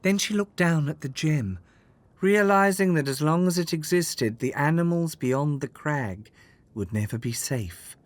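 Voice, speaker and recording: A storyteller reading a children's fairytale aloud in an expressive, measured way, with dramatic scripted delivery. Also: mid-range voice. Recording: music under the voice. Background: background music.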